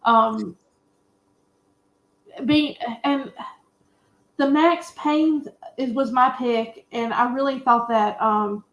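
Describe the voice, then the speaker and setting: A woman's voice: on the high side, fairly even intonation, drawn-out words, pauses often, fairly bright tone. A woman panelist giving a candid, opinionated and colloquial film recap in casual chat. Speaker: panelist on a movie discussion show. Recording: roomy, echoey sound.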